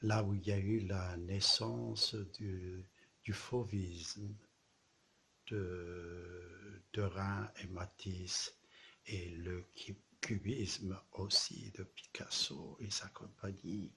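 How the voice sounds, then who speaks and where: A man from southern France, an artist presenting his exhibition in a slow, halting way, with pauses and repeated words. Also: low-pitched, level tone, gravelly, fairly bright tone, slightly rough. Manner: repeats poetic refrains. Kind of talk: casual spoken address to camera.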